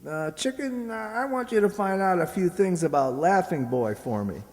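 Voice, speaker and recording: Slangy American gambler schemer, played by a man, highish swooping voice, radio comedy, echoey hall. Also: lively intonation, a little mellow. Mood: scheming, exasperated. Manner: slangy wise guy, drawn-out delivery. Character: slangy, scheming small-time gambler boyfriend. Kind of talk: old-time radio comedy acting.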